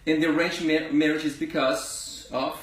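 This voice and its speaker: A man's voice: a little high, flat delivery, moderate pace. A man interviewing a guest, asking rambling questions in a chatty way. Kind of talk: casual interview. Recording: echoey room.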